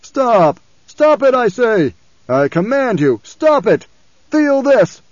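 A man voicing the spirit of an autocratic, querulous old male tyrant in an audiobook, with a forceful raised voice, commanding and demanding. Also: on the high side, animated, measured pace, dry recording, dull audio, pitched above the performer's own voice. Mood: raging, desperate.

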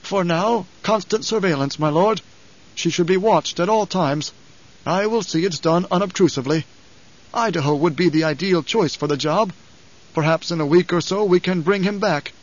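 A man voicing a loyal old advisor in an audiobook, grave and deferential, with a measured, formal, hesitant delivery. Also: high-pitched, muffled recording, voice pushed up. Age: older.

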